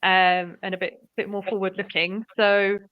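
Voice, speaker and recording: A woman's voice: medium pitch, flat delivery, moderate pace, no echo, muffled recording. A woman hosting an interview, asking friendly questions in a hesitant way with ums. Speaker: host. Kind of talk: interview host.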